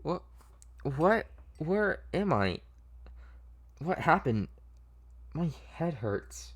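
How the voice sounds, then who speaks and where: Man voicing a timid, frightened little girl, pitched up. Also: very animated, extremely slow, exaggerated voice. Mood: dazed, scared. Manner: hesitant, stammering.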